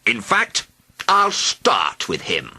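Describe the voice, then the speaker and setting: A man's voice: medium pitch, huge pitch swings, slow, bright, forceful tone, breathy edge, heavily acted. A man voicing a vain, rude and cowardly huge male dragon in a storybook, blustering and melodramatic. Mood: smug. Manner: blustering and melodramatic, wailing when wounded. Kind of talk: storybook reading.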